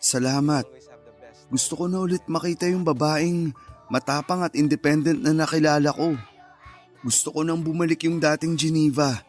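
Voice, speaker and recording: A man acting a gentle, caring Filipino husband and father in a radio drama. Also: on the high side, fairly even intonation, moderate pace, natural voice. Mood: tender. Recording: music bed under the voice.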